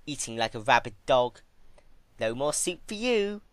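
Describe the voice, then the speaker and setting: A man's voice: mid-range voice, very animated, measured pace, no room sound, voice pushed up. A man voicing a cruel female pony villain who taunts with mock sweetness in a fan-fiction story. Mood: scornful.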